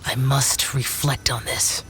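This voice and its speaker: A man's voice: medium pitch, level tone, takes it slowly, noisy background, natural voice. A man voicing a brooding, ancient warrior immortal in a video game, stern and formal in an archaic way, with game music underneath. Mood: solemn regret. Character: brooding, solemn ancient male demon-slaying warrior immortal.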